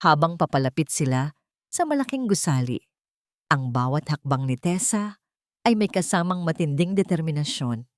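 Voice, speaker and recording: A woman narrating a scripted dramatic story in a measured, solemn storytelling manner. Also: low-pitched, sing-song, no echo, studio quality.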